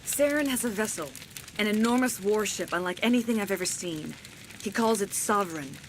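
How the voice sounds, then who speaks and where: An actress playing an alien woman in a video game, speaking in formal, solemn, measured declarations. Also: mid-range voice, slight background noise, close to an everyday voice, poor audio. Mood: solemn, regretful. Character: alien woman, cold imperious mouthpiece of an ancient plant, later freed and remorseful. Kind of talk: dramatic video game cutscene acting.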